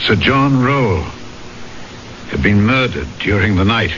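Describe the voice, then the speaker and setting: An actor playing a troubled, inquisitive gentleman in a radio horror drama, with deliberate, dramatic storytelling delivery. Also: fairly low pitch, animated, slight background noise. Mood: horrified, hushed. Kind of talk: 1970s radio drama acting. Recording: muffled old radio broadcast, loud music.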